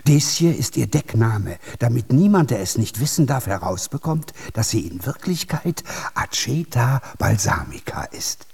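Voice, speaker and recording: An actor playing a kindly, conspiratorial character in a German radio drama, with a raspy, husky voice and a halting, playful manner. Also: mid-range voice, big pitch swings, a little mellow, full character voice.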